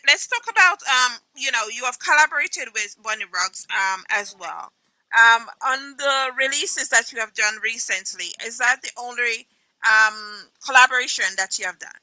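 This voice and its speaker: A woman hosting an interview, upbeat, with frequent ums, giving shout-outs. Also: fairly high pitch, moderate pace, no echo, poor audio. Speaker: interview host.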